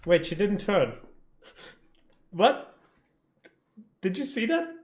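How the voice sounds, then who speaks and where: Wry game streamer, mid-pitched voice. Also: sing-song, slow. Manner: wry, joking, sweary. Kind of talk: casual reaction commentary over gameplay.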